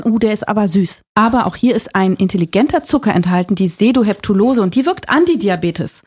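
A woman's voice: medium pitch, a little mellow. A woman talking as a nutrition expert guest, informative and chatty with light jokes. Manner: chatty, informative, mildly joking. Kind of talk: explanatory podcast talk.

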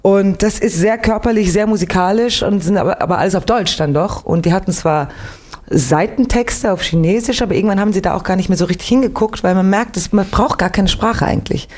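A young Swiss woman, an actress and singer, chatting lively as a guest in a late-night radio interview, with a faint Swiss German accent. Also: medium pitch, a little fast. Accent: slight Swiss German. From Switzerland, Winterthur. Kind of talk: relaxed radio interview chat.

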